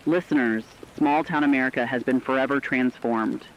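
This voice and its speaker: A man's voice: medium pitch, normal speed, dull audio, slight background noise, natural voice. A man playing a radio anchor who solemnly addresses listeners in formal, portentous scripted horror narration. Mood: grave. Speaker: radio host.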